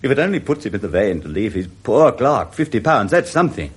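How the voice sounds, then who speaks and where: A jolly british victorian gent, old radio play, husky, pitch bouncing all over. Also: male voice, fairly quick. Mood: forgiving, jovial. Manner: jovial, animated, chuckling. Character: good-humoured, warm-hearted Victorian gentleman, a forgiving nephew. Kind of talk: 1960s British radio drama acting.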